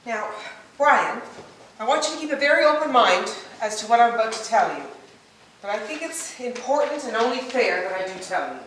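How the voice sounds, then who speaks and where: A woman plays an earnest, rationalizing wife in a stage play rehearsal. Her fairly high voice moves expressively through theatrical, over-reasoned phrasing. The room is very echoey and she sounds far from the microphone. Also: lively intonation, fairly quick, fairly bright tone. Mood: earnest, reasoning. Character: earnest, self-justifying wife calmly rationalizing her fantasy affair. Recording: distant, echoey room with background noise.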